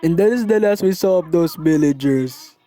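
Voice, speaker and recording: A Filipino-accented man voicing a chatty, excitable villager reporter giving a cartoon mock news report. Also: fairly high pitch, normal speed, loud music behind the voice, close to an everyday voice.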